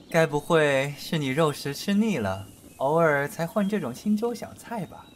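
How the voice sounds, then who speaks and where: Woman doing a teasing young lord in a period radio play, haughty n coy, kinda low lively voice. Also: a little slow, a little hiss or hum. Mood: scornful, offended. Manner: coquettish, teasing, mocking. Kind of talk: costume radio drama acting.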